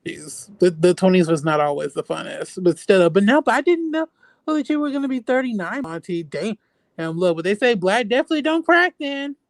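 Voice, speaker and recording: A man about thirty chatting casually as a livestream guest, animated and often starting his sentences with "look". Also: high-pitched, brisk, dry recording, studio quality. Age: 30s. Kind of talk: casual chat.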